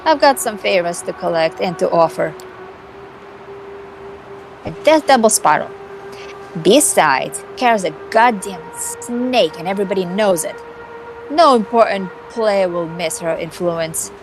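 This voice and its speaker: A woman playing a scheming, cocky female vampire hacker in an amateur visual novel performance, theatrical and condescending with dramatic pauses. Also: mid-range voice, expressive, background noise. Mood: cocky, scornful. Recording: music behind the voice.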